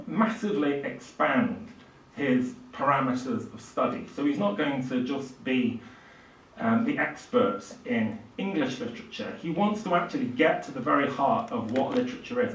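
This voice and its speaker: A man's voice: on the high side, slight background noise. Older male lecturer in an echoey room. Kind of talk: public lecture on literature, speaking off the cuff. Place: small indoor lecture room at a lectern. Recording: echoey room, distant microphone.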